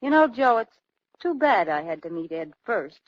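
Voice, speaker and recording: An American actress playing a bored, flirtatious and sardonic boss's wife in a 1940s radio drama. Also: female voice, on the high side, sing-song, normal speed. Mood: wistful, bitter. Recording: dull vintage 1940s radio broadcast.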